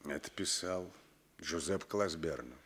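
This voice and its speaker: An actor playing a seasoned, world-weary police sergeant in a 1960s Soviet radio play, deferential, wry and resigned. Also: male voice, low voice, moderate pace, fairly bright tone, slightly rough. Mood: confiding.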